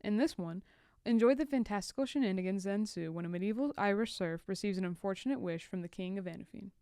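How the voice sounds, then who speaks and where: A woman presents in a scripted style. Her voice is very clear and fast-paced, recorded dry. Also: mid-range voice, mellow, dry recording. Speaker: show presenter. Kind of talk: scripted introduction.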